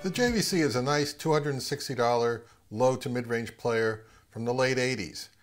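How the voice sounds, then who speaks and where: A man's voice: mid-range voice, moderate pace, over music. A middle-aged man with an American accent, explaining audio gear in a casual, conversational way as a channel host. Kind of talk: casual explanatory product review narration.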